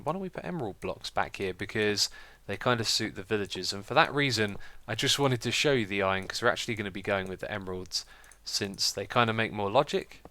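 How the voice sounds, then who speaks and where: A British man talking through a game at a close desk mic, his voice lowish, bright and forward, with a slight croak. The recording is dry and close, with no room sound. He keeps up a chatty, self-mocking running commentary. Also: no room sound. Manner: chatty, self-mocking, laughs at own slips. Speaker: let's play host. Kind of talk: casual gameplay commentary.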